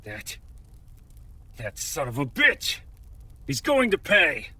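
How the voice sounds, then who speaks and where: A man playing a desperate, reckless warrior hero in an audio drama, shouting with a loud, strained voice. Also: fairly high pitch, wildly swooping pitch, slow, husky, dry recording, exaggerated voice. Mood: furious.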